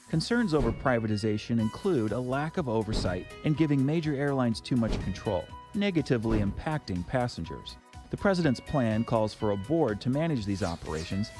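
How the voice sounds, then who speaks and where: A man's voice: medium pitch, a little mellow. A man narrating a scripted news explainer. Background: music.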